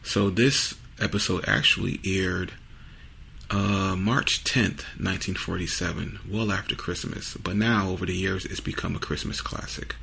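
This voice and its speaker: A man introducing a podcast episode in a casual, low-key, conversational way. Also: steady tone, normal speed, gravelly, sharp tone, a little hiss or hum. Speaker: podcast host.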